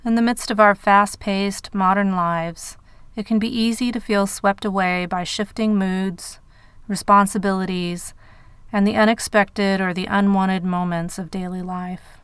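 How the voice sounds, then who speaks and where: Very smooth, clear woman guiding meditation. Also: mid-range voice, steady tone, fairly bright tone, no echo. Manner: measured, unhurried phrasing with pauses. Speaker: meditation guide. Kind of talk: guided meditation narration.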